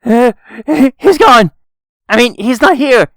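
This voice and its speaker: A performer acts out a timid male accountant for the mob in a comic dub. He is flustered and stammering, and his words come slowly and haltingly under pressure. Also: medium pitch, lively intonation, no echo, clean studio sound. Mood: panicked. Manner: stuttering, flustered.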